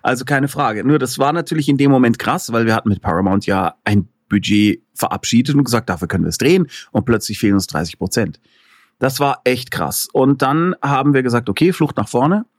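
Male interview guest, animated storytelling, mid-pitched voice, dry close sound. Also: a little fast, no room sound. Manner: animated storytelling with fillers and laughs. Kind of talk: casual interview chat.

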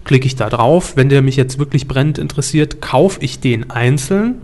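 A man with a mid-pitched voice hosts a media talk. He presents in a conversational, explanatory style. He goes through each point methodically and poses rhetorical questions as he goes. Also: expressive, moderate pace, a little mellow. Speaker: host of a media news talk show.

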